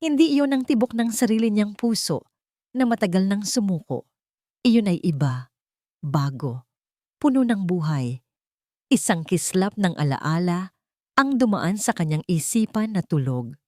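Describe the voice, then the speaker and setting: A woman narrates a scripted dramatic story in deliberate, suspenseful phrases. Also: mid-range voice, expressive, darkish tone, no room sound, clean studio sound.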